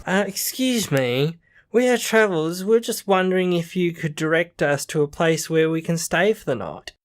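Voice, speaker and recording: A man's voice: fairly high pitch, moderate pace, natural voice. A man playing an eager young male student time traveller in an amateur audio drama. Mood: polite, protesting. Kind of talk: fan audio drama acting. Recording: roomy echo, music underneath.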